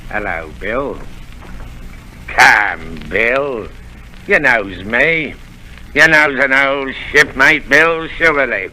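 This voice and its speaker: A sly wheedling pirate. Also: male voice, mid-range voice, very slow, long pauses, pushed and bright, a little hiss or hum, full character voice, rough recording. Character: menacing sly old pirate, wheedling man. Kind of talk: 1930s radio drama acting. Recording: old radio broadcast with music.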